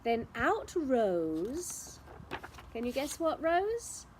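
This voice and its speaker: A woman reads a rhyming children's story theatrically. Her high voice is lively and varied in pitch, and she takes her time with dramatic pauses. There is faint noise in the recording. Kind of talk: expressive storybook narration in rhyme.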